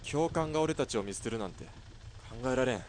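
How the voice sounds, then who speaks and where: A man playing a hot-headed young army sniper who talks in a rough, blunt soldier's way. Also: mid-range voice, lively intonation, moderate pace, a little mellow, slight background noise, natural voice.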